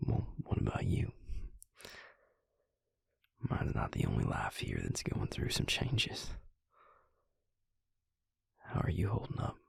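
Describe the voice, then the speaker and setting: A man playing a gentle, devoted vampire lover in an intimate roleplay audio, speaking tenderly and haltingly in a breathy, gravelly near-whisper. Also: rumbling low, wildly swooping pitch, dry recording, heavily acted. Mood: tender, reassuring. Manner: slow, tender, halting delivery.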